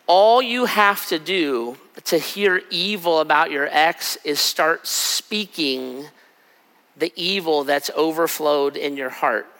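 A middle-aged man preaching a sermon in a conversational way, his voice raspy from travel. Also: on the high side, pushed and bright. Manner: conversational, acts out quick asides. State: raspy from a cold trip. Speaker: pastor. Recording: hall with long reverb.

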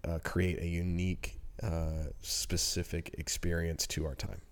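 A man's voice: deep voice, level tone, a little slow. A man in his early forties talking casually and reflectively as co-host of a podcast discussion. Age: early 40s.

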